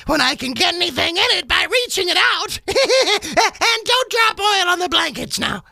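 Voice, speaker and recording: A man voicing a brazen, greedy old charwoman in a loud, creaky, cackling radio theater performance. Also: extremely high pitch, very animated, normal speed, dry recording, studio quality, voice pushed up, exaggerated voice. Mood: shameless gloating. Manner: loud, coarse, gloating.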